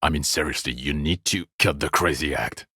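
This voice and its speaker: A man's voice: extremely low pitch, normal speed, pushed and bright, husky, no room sound, exaggerated voice. A man voicing a jaded, foul-mouthed police detective in a video game, with dry, biting sarcasm and exasperation. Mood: exasperated, scornful. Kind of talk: video game character voice acting.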